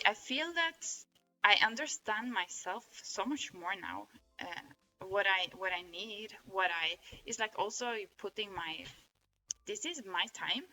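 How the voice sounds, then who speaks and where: A woman's voice: medium pitch, sharp tone, rough recording. A woman with a Norwegian accent, a native Norwegian speaker, talking through her experience in an earnest, rambling way, with many restarts. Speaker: vlogger. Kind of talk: casual reflective monologue.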